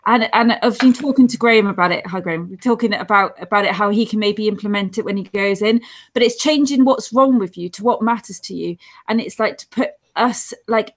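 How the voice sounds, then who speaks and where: A woman, chatting earnestly on a video call from home, rambling and restarting as she tells stories. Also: fairly high pitch. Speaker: guest on support show. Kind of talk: casual video-call chat. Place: dim room at home. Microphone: earphone headset on video call.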